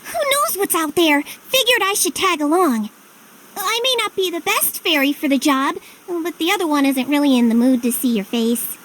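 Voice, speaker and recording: Squeaky-high, skittish fairy guide. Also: female voice, animated, normal speed, fairly bright tone. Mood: anxious, eager. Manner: chirpy, flustered, prim. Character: perky, skittish little female fairy guide. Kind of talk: animated video game acting. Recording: music under the voice.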